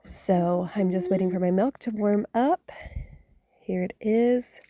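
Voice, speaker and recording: A woman's voice: medium pitch, measured pace, mellow, no echo, studio quality. A woman who is getting over a cold, narrating a casual home cooking vlog in a chatty, rambling way full of fillers and restarts. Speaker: homestead vlogger. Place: home kitchen.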